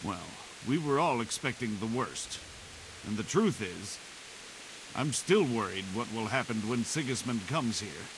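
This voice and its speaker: An actor playing a worried medieval castle guard who speaks bluntly and swears at the enemy. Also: male voice, on the low side, lively intonation, a little slow. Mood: grim. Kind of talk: game character dialogue. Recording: game audio under loud hiss.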